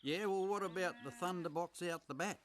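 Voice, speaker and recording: An actor playing a gruff, foul-mouthed but laid-back rural uncle with an Australian accent in a film comedy-drama. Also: male voice, sits high, fairly quick, a little hiss or hum, natural voice. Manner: blunt, sweary, matey slang.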